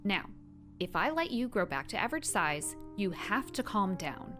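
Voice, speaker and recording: Casting a woman for audio drama: young superpowered heroine-or-villain, guarded, emotionally open underneath, lively intonation. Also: medium pitch, normal speed, over music, natural voice. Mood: exasperated.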